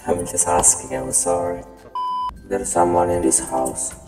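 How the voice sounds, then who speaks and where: A man chatting casually as he makes a gaming prank video, his voice run through a deep voice changer. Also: unhurried. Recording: echoing altered voice, music behind.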